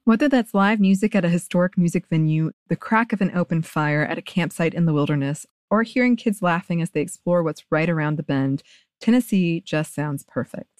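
A woman's voice: fairly low pitch, a little fast, a little mellow, dry recording, studio quality. An American woman reading a scripted podcast advertisement. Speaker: podcast ad reader. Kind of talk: scripted sponsor read.

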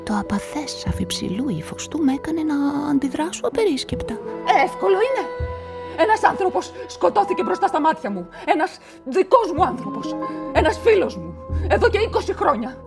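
An actress narrating a radio play in the first person as a nervous events manager, often dropping into confiding whispers. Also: female voice, sits high, big pitch swings, creaky, music in the background, full character voice. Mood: earnest. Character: nervous, talkative female events manager caught up in a murder.

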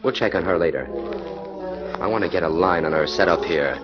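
An actor playing a shrewd, self-assured detective in a confident, matter-of-fact way, in a 1940s radio drama. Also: male voice, deep voice, a little fast, close to an everyday voice. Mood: explaining. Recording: dull old radio broadcast with music.

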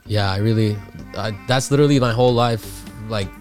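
A man's voice: fairly low pitch, a little fast, soft background music. A man from Armenia, a guest recording artist, talking casually in a radio interview. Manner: relaxed, casual slang. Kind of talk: conversational interview.

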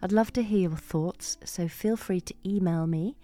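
A woman's voice: lowish voice, normal speed, dark tone, no echo, faint music behind. A middle-aged woman hosting a podcast, giving a scripted sign-off to listeners. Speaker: podcast host.

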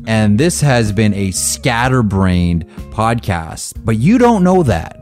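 A nasal-voiced man co-hosting a podcast, chatty and upbeat. His voice sits a little low. Soft music plays behind him. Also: big pitch swings. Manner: upbeat, chatty, quick sign-off patter. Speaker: podcast co-host. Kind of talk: casual chat.

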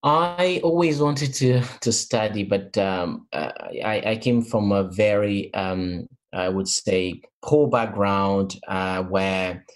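ポルトガル語が母語の男性、とても低い声、インタビューで「えー」と言いよどみながら話す。. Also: male voice, on the low side, takes it slowly, few pauses. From Portugal and Africa. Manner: hesitant, many fillers and restarts. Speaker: interview guest, mentor. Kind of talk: interview answers. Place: in England.

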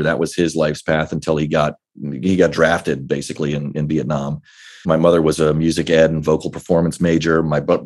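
Deep bass-voiced man chatting. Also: a little mellow. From Northern Virginia. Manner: rambling, many fillers and restarts. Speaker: guest actor. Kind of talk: casual interview chat.

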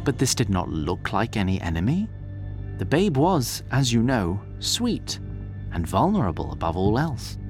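A man narrating a scripted fantasy tale in a theatrical, deliberate and wry manner. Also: on the low side, wildly swooping pitch, music in the background.

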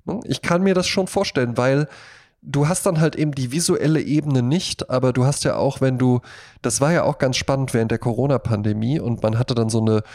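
A man's voice: mid-range voice, a little fast, darkish tone. A man co-hosting a casual podcast, talking a lot in an emphatic, jokey way. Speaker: podcast co-host.

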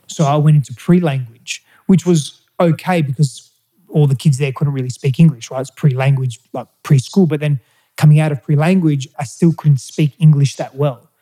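Mellow man, candid and hesitant. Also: mid-range voice, low-quality recording. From Australia. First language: Greek. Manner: candid, hesitant, with restarts and fillers. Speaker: interview guest. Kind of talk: reflective personal storytelling.

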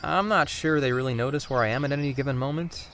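A man's voice: medium pitch, fast, fairly bright tone, slight background noise, close to an everyday voice. A man playing a sceptical, sardonic teenage boy in a naturalistic audio drama, cautious and questioning. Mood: sardonic, amused.

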